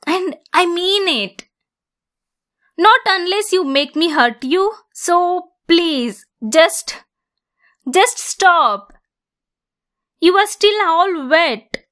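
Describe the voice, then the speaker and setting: Slow, high-voiced possessive centaur woman, acted by a woman. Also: fairly bright tone, heavily acted. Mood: agitated, commanding. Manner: slow, deliberate, insistent, clingy. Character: possessive yandere female centaur, lonely, obsessive, domineering. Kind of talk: ASMR roleplay monologue.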